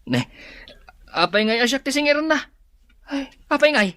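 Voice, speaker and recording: A man playing a tipsy, slow-witted village drunkard with a slurred drawl in an Ilocano radio comedy drama. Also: very high voice, expressive, sharp tone, dry recording, exaggerated voice. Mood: puzzled, protesting.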